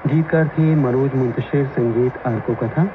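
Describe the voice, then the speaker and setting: Through a narrow, tinny radio signal thick with noise comes a male announcer. He presents in a formal music-programme style, at an average pitch for a man. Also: moderate pace. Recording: tinny, very noisy AM radio reception.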